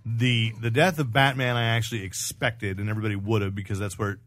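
Male podcast host, low pitch, casual conversational delivery. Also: little pitch movement, quick pace, fairly bright tone. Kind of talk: casual comic book news chat.

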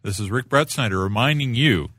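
A man's voice: mid-range voice, a little fast, fairly bright tone, breathy edge, no echo. A man co-hosting a podcast in casual, conversational chat. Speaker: podcast co-host.

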